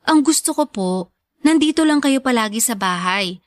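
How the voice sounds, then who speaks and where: A high, youthful voice for a devoted student daughter , played by a woman. She is respectful and earnest, reassuring her mother in Filipino radio drama style. The sound is dry and close. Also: animated, normal speed, no echo, natural voice.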